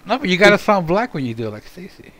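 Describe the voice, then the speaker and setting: A man's voice: high voice, very animated, quick pace, a crowd in the background, low-quality recording. A man co-hosting a casual podcast chat, teasing and exasperated with wisecracks. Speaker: podcast co-host.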